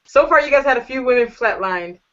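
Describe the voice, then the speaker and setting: A woman's voice: a little high, lively intonation, brisk. A woman hosting a casual online panel show, gushing and flirty as she thanks her guests. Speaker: talk show host. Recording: dull lo-fi audio.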